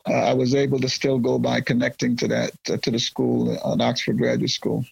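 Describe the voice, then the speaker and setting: A man's voice: mid-range voice, completely flat delivery, brisk, vocal fry, slightly rough, no echo, low-quality recording. A man from Guyana speaking as an interview guest, rambling with frequent fillers and restarts and often laughing. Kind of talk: casual interview conversation.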